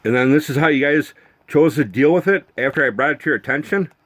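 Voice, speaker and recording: A man's voice: on the high side, fast, a bit bright. A man ranting accusingly at someone who is not there, exasperated, swearing and repeating himself in rhetorical questions. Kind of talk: accusatory rant to camera addressing an absent person.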